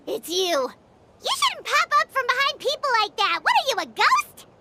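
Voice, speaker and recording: A woman voicing a tiny, childlike fairy companion in a video game, squeaky and cheeky, with a blunt, suspicious, excitable manner. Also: a little slow, heavy background noise, heavily acted. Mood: annoyed, cheeky. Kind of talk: English video game voice acting. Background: background music.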